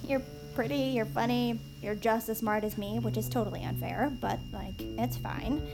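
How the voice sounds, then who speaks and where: A woman's voice: fairly high pitch, steady tone, normal speed, creaky, loud music behind the voice, poor audio. An actress playing a bossy, earnest, chatty young woman, a nerdy best friend, in a naturalistic audio drama. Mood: chatty, excited.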